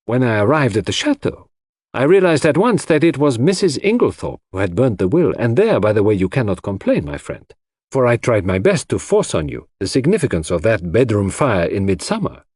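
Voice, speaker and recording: A man's voice: mid-range voice, very animated, a little fast, darkish tone, slightly rough, dry recording, clean studio sound. A man voicing a shrewd, self-assured foreign detective who explains in a theatrical, didactic way in an audiobook. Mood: explaining, assured. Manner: theatrical, didactic, sprinkles French phrases.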